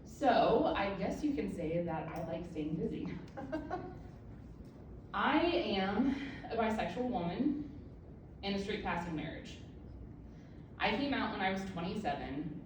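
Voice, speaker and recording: Woman in her thirties, lowish voice, candid self-mocking speech, very echoey room, distant mic. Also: darkish tone, a little hiss or hum. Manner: candid, self-deprecating humor. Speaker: author giving a speech. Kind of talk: personal speech to an audience. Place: event room with an audience.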